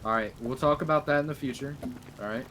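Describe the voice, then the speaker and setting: A man's voice: mid-range voice, fast talker, fairly bright tone. A man talking casually over game voice chat, teasing and swearing at the other players. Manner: profane, teasing. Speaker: online roleplay game player. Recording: clearly noisy game voice chat.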